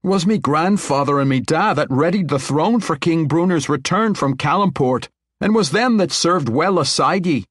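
A proud young dwarf general woman, played by a male reader, pitched very high with a firm brogue. She presses her claim bluntly and stands her ground, in a close, dry audiobook sound. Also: lively intonation, moderate pace, no echo. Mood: defiant.

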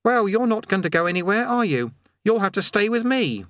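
A frantic, demanding teenage boy, voiced by a male narrator whines in a high, strained voice, dragging out his words when he insists. His speech swings up and down with agitation. The recording is close and dry, like an audiobook booth. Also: sing-song, brisk, a bit bright, no echo. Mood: petulant. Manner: petulant, pleading, high and strained. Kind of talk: audiobook character voice.

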